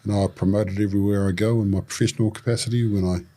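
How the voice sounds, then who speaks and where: Samoan-born man with a low baritone. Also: rapid-fire. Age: 40s. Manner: relaxed, joking, with fillers and restarts. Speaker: podcast guest. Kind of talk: casual interview.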